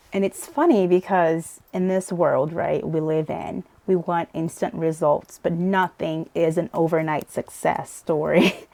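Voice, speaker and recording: A woman's voice: lowish voice, a little mellow, no echo. A woman from Brazil with a light Brazilian accent, teaching English pronunciation in a casual, chatty video lesson, laughing often and checking in with "right?". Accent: Brazilian Portuguese. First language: Portuguese.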